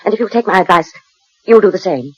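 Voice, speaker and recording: An actress playing a composed, world-weary betrayed wife in a 1940s radio drama, speaking in a measured way. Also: female voice, mid-range voice, expressive, husky, no echo. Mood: controlled, firm. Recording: muffled 1940s radio recording.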